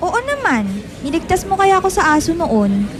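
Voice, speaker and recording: Woman playing a high-pitched, smitten high school girl. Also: very animated, moderate pace, background noise. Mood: eager. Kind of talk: radio drama dialogue. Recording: music under the voice.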